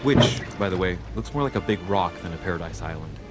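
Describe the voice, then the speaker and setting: Game role: young gentleman, wry and dry, lowish male voice, music underneath. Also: animated, fast talker. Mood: dry, weary. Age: young adult. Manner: dry, sardonic. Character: wry young gentleman searching for his missing mother. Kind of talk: video game cutscene acting and inner monologue.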